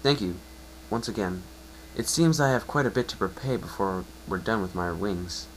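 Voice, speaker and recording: Man playing a low-voiced grateful winged pony. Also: normal speed, a little hiss or hum, close to an everyday voice, low-quality recording.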